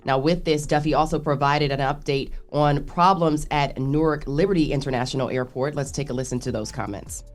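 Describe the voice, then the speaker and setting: An American woman with a notably low voice delivers radio news. She moves between reading copy and chatting, often stumbling over a word and starting again. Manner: halting, stumbling over words and restarting. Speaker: news reporter on a radio morning show. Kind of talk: news report with conversational asides.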